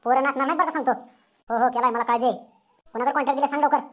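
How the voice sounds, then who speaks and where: A woman voicing an eager young village character in a comedy cartoon, calling out excitedly. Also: high-pitched, racing. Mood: eager, bossy.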